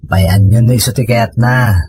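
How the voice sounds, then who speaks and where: A man's voice: lowish voice, measured pace, a little mellow, husky, dry recording. A man with a Filipino accent playing a tired working husband in an Ilocano audio drama. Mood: weary, earnest. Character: working husband, tired of family money strain.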